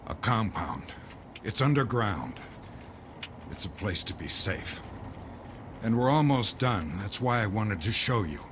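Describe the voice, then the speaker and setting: An actor playing an earnest, secretive doomsday believer who speaks gravely and insistently in a dramatic audio play. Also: male voice, lowish voice, sing-song, measured pace, long pauses, background noise. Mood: guarded.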